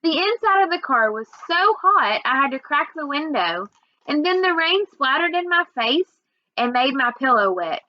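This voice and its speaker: High-voiced woman reading a story aloud. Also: normal speed, lo-fi sound. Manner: expressive, acts out dialogue. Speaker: reader. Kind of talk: read-aloud storytelling of a children's novel.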